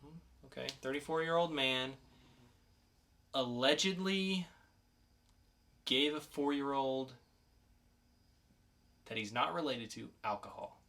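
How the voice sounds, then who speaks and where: A man's voice: mid-range voice, takes it slowly, vocal fry, pauses often, sharp tone. A man co-hosting a podcast in casual banter, with a playful game-show announcer flair and some swearing. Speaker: podcast co-host.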